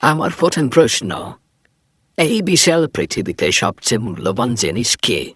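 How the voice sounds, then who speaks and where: Stern old sage for a cartoon , a man voicing him: husky, low, lively pitch, dry studio. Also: moderate pace, no room sound. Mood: solemn. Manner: commanding, testing. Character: stern old male sage, enchanted prince in disguise.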